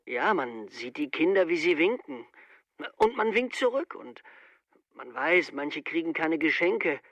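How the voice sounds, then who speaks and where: A man's voice: a little high, big pitch swings, a bit bright, dry recording, lo-fi sound, exaggerated voice. An actor playing a gullible, bashful small-town family man in a radio drama, speaking hesitantly and naively. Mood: touched, dreamy. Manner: hesitant, naive, halting, tags phrases with "eh".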